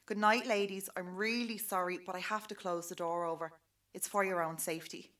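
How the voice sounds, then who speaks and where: Woman acting a lowish-voiced Irish policewoman. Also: steady tone, a little fast, fairly bright tone, natural voice. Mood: apologetic. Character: friendly, caring Irish policewoman. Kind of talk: radio drama acting.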